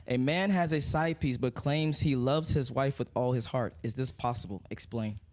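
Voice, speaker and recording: A man's voice: mid-range voice, normal speed, a little mellow, slight background noise. A man hosting a casual panel discussion, talking in an informal, slangy way with some swearing. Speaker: podcast host.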